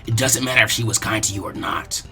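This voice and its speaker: Guy doing a deep taunting ninja villain, slow n mocking, story reading w music under. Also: vocal fry, pushed and bright, heavily acted. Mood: menacing. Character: menacing, taunting male mercenary ninja swordsman. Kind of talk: fan fiction audiobook reading.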